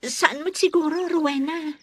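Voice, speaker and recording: A woman's voice: high voice, fairly even intonation, measured pace. A woman playing a concerned, compassionate villager in a radio drama, earnest and sympathetic.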